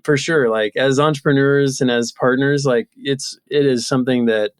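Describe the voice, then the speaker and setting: A man's voice: mid-range voice, fairly even intonation, moderate pace, clean tone, dry recording, clean studio sound. A man in his thirties talking casually and reflectively as a guest in an interview. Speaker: podcast guest, entrepreneur.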